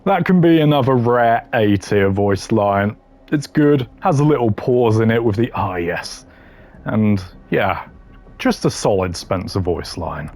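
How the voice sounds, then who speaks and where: A man's voice: fairly low pitch, animated, unhurried, darkish tone. A man giving casual, opinionated gaming commentary in a blunt, slangy way, ranking voice lines. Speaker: gamer ranking game voice lines.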